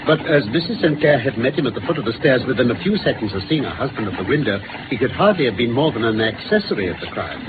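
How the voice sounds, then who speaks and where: Man acting brisk British detective, theatrical and articulate, very lively intonation, vintage radio drama, thin phone-like sound, clear hiss. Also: medium pitch, close to an everyday voice. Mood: brisk, matter-of-fact. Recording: noisy, phone-like old radio recording.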